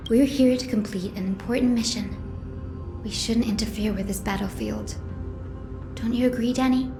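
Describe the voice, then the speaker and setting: An actress as a kindly, optimistic young priestess woman from a video game. Her voice sits fairly high and sounds earnest. It echoes noticeably, with music playing clearly behind. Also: fairly even intonation, moderate pace, close to an everyday voice. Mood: grave, reflective. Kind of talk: scripted video game voice acting.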